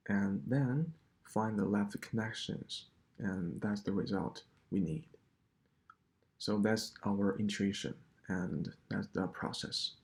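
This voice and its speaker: A man walking through a coding solution step by step, in a halting explanatory way. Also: on the low side, a little mellow. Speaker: programming tutor.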